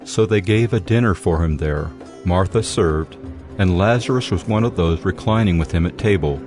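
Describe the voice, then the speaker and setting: A male narrator reading scripture in a calm, even way. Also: low-pitched, normal speed, soft background music.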